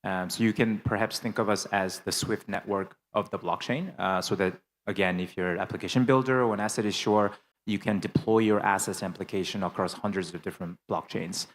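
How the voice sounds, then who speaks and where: Male panelist with a lowish voice, smooth business pitch peppered with ums. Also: steady tone, a little fast. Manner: fluent business talk with frequent fillers. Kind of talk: conference panel introduction. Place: conference hall panel.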